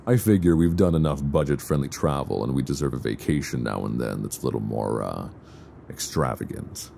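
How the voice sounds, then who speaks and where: Man acting as very deep-voiced, affectionate boyfriend. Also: creaky, exaggerated voice. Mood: relaxed, affectionate. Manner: soft teasing, unhurried, conversational with hesitant pauses. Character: affectionate, playful boyfriend on a luxury flight. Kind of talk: intimate ASMR boyfriend audio roleplay. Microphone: close binaural microphone. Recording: close binaural recording, faint background noise.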